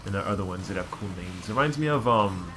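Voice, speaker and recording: A man's voice: low voice, animated, fast talker, pushed and bright, soft background music. A man giving casual game commentary, chatty and rambling as he explains things. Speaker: let's play co-commentator. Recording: noticeable background noise.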